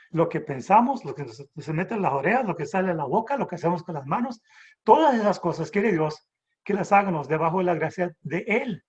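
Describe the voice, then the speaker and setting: A middle-aged man from the Texas border, raised in San Antonio, teaching the Bible informally over a video call, halting with restarts and rhetorical questions. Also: high-pitched, a little mellow, husky, highs cut off.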